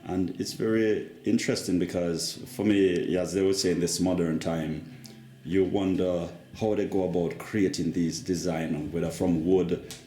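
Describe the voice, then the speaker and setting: A man's voice: low voice, fairly even intonation, measured pace, mellow. A man interviewing in casual, reflective conversation. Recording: echoey room, faint music.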